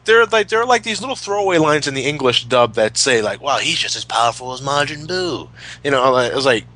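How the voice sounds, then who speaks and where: A man's voice: medium pitch, lively intonation, fast talker, sharp tone. A man chatting casually as a podcast co-host, opinionated and emphatic, with plenty of swearing. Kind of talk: casual chat, retrospective commentary.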